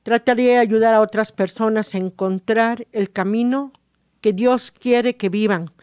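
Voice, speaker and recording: A woman with a Mexican accent hosting a radio show, reading reflections aloud in a halting, deliberate way. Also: a little high, steady tone, darkish tone, clean tone, dry recording, clean studio sound.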